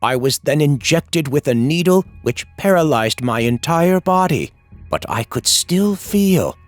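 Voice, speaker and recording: A man's voice: fairly high pitch, very animated, a little slow, dry recording. A man reading a scripted first-person horror story in a suspenseful, dramatic manner. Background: music.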